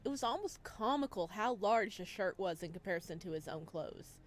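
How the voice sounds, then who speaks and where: Woman doing a visual novel lets play, medium pitch, playful n expressive. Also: moderate pace. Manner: playful, expressive reactions, addresses viewers. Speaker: let's play host. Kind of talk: visual novel playthrough, chatty commentary and read-aloud narration.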